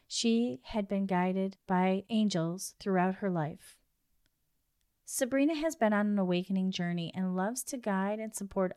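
A woman's voice: mid-range voice, smooth. Woman hosting a podcast interview. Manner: warm, reads a scripted intro then chats. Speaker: podcast host.

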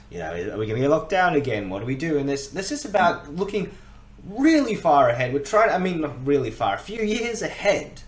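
A man hosting a podcast in a casual discussion, emphatic and thinking aloud in rhetorical questions. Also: on the high side. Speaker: podcast host. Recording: roomy, echoey sound.

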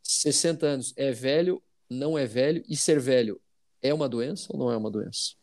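A man's voice: mid-range voice, brisk, darkish tone, dry recording, clean studio sound. A man with a Brazilian accent hosting a discussion in a conversational commentary style. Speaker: discussion host.

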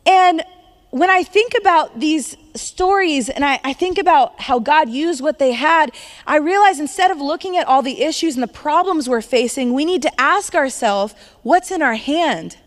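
A woman's voice: high-pitched, lively intonation, moderate pace. Upbeat woman preaching in a reverberant church. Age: 30s. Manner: conversational, upbeat, laughs between points. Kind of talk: sermon. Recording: long hall reverb.

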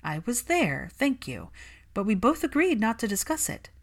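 A woman's voice: on the high side, big pitch swings, normal speed, voice pushed up. A woman voicing a defensive, logical young witch in a story reading, clipped and insistent.

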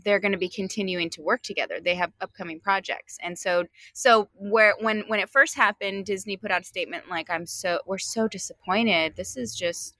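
A young adult woman co-hosting a podcast from outdoors, talking casually with lots of fillers. Her mid-pitched voice is bright and clear. The sound is dry and close to the mic. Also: moderate pace, few pauses, no room sound. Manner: chatty, with many fillers, relaxed. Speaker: podcast co-host. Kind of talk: casual chat.

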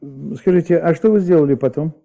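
An actor playing a calm, methodical police investigator in a Soviet radio play, with a measured, probing, polite way of speaking. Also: male voice, fairly high pitch, creaky, low-quality recording. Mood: polite, businesslike. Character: calm, methodical male police investigator questioning a young witness.

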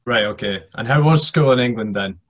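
A man hosting a casual interview, asking questions in a halting way and repeating words as he goes. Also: medium pitch, fairly bright tone. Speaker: podcast host, interviewer.

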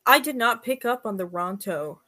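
A woman's voice: mid-range voice, lively intonation, moderate pace, pushed and bright. Casual American woman chatting as a guest. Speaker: podcast guest. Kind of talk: casual fan chat.

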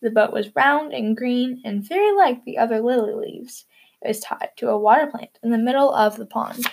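A woman's voice: a little high, normal speed, creaky, a little mellow. A woman hosting and narrating a storybook for children.

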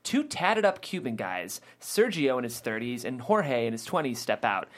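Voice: medium pitch, big pitch swings, moderate pace. A narrator reading out stage directions at a comedy script table read.

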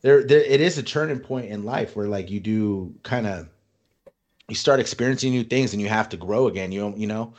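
A man's voice: on the low side, brisk, a little mellow. A middle-aged man speaking bluntly and earnestly, with casual swearing, as a podcast co-host. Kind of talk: casual chat.

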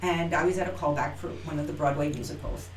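A middle-aged actress chats as an interview guest, animated and acting out the lines she quotes. Her voice sits a little low and she sounds far from the mic. The room is echoey, with faint noise behind her. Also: quick pace. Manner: animated, acts out quoted lines, frequent restarts. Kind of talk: casual interview storytelling.

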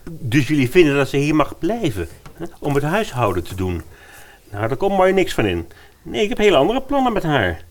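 Man as animated, protective young trainer. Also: fairly high pitch, brisk. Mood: firm, indignant. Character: Victor, protective young male personal trainer. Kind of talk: Dutch radio play acting.

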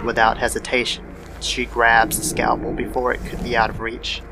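A man's voice: mid-range voice, completely flat delivery, moderate pace, creaky, very noisy. A man narrating a scripted horror story in the style of old-time radio. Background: background music.

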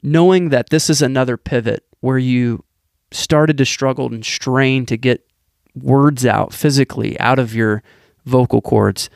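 A male host asking a long, halting interview question with stammered restarts. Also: medium pitch, gravelly, dry recording, clean studio sound.

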